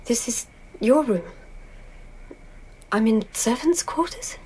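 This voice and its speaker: An actress playing a timid, lovestruck palace lady in a radio drama, hushed and hesitant, with a husky, breathy voice. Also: fairly high pitch, animated, slow pace, exaggerated voice, poor audio. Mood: anxious, confused. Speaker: actor. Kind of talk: intimate radio drama acting.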